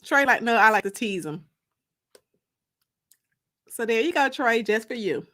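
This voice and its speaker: A woman with an American accent and a bright, forward, fairly high voice hosts a casual livestream. She talks to her viewers in loose, colloquial language, with a playful and teasing manner. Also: expressive, unhurried. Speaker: livestream host and author.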